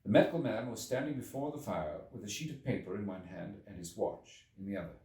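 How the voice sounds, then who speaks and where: Male audiobook narrator: theatrical, measured literary reading, very varied intonation, recorded in an echoey room. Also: fairly low pitch, animated, dark tone. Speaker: audiobook reader. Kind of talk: expressive scripted literary narration. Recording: echoey room, distant from the mic.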